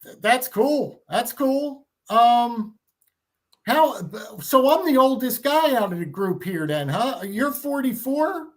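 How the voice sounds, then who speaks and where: A man's voice: very high-pitched, animated, slow. A fifty-year-old man in a casual chat, talking effusively and often repeating his phrases. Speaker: guest on a livestream chat.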